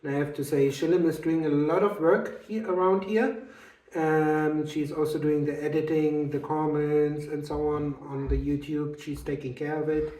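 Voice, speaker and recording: Calm man. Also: fairly high pitch, low-quality recording. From Germany. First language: German. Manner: calm, steady, with frequent uh and um pauses. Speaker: channel co-host and narrator. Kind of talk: casual chat and tour narration.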